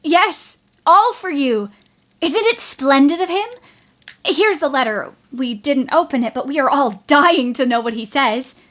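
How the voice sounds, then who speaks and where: Teenage girl, played by a woman, high-pitched, spirited, bold, eager, audio play. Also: animated, a little slow, a bit bright. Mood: excited. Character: spirited, ambitious teenage sister who longs to write.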